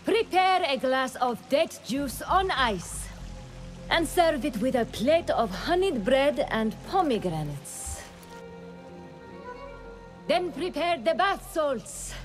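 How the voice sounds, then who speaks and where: A woman's voice: high-pitched, very animated, takes it slowly, pauses often, faint music behind, full character voice. An actress playing a haughty, languid wealthy woman, imperious in dramatic video game dialogue. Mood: weary, imperious. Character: haughty, languid wealthy female scholar ordering servants.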